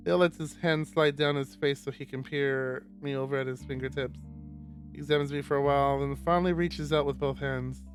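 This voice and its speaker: A man's voice: mid-range voice, steady tone, a little fast. A man streaming a visual novel, reading first-person fiction aloud. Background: guitar music.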